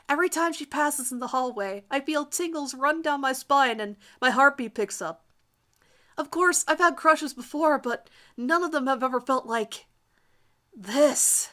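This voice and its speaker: A woman's voice: on the high side, sharp tone. A woman voicing a vain, lovestruck teenage boy who narrates his crush with overwrought emphasis in a melodramatic fan fiction reading.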